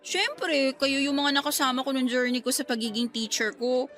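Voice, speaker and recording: A woman acting a young working girl in a radio love story, bright n high, playful but a bit wistful. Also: normal speed.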